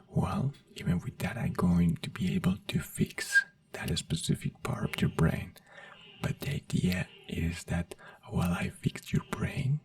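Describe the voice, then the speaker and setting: Soft slow asmr doctor whisper, dry sound, kinda gravelly. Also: low voice, dry recording, far from the mic. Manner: soft whisper, slow and soothing, simple reassuring phrases. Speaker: ASMR creator in a doctor roleplay.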